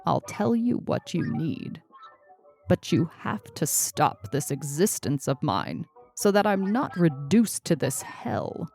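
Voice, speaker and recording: A woman's voice: sits low, very animated, takes it slowly, a little mellow, faint music behind, heavily acted. A woman voicing a grumpy, weary old male author in a story, acerbic yet grandfatherly and pleading.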